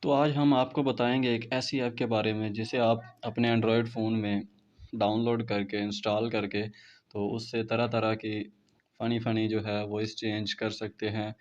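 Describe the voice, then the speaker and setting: A man's voice: fairly low pitch, flat delivery, a little slow. A man with a Pakistani accent giving a casual step-by-step app tutorial as a YouTuber, addressing viewers as friends. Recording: muffled lo-fi with clear background noise.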